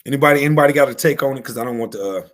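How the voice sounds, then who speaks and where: A man hosting a casual panel discussion on a video call, talking in halting, rambling runs full of fillers. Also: mid-range voice. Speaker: panel host.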